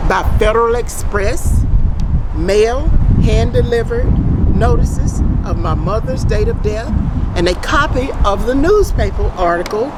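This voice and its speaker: A middle-aged woman reads a prepared statement aloud in a deliberate, formal, emphatic way. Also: on the high side, very noisy. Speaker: private citizen giving a public statement.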